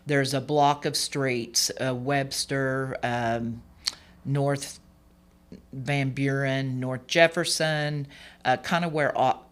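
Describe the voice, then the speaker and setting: A hoarse, slightly gravelly voice from someone in their forties, pitched in a plain middle register. They talk earnestly as a political candidate being interviewed, wandering through fillers and false starts. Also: fairly even intonation, takes it slowly. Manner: rambling, many fillers and restarts, earnest. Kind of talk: radio interview guest.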